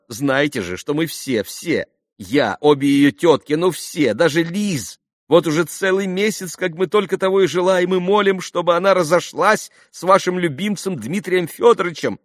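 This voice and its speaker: Man voicing a high-pitched, gushing society lady. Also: dry recording, studio quality, natural voice. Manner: effusive, breathless repetition, melodramatic. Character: gushing, excitable society lady, Liza's fussing mother. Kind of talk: audiobook classic novel dialogue.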